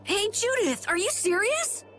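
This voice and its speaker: An actress playing an eager, nervous young boy adventurer in a video game, with a hesitant, excitable, boyish delivery. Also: very high voice, measured pace, soft background music, exaggerated voice. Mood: flustered. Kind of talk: video game cutscene acting.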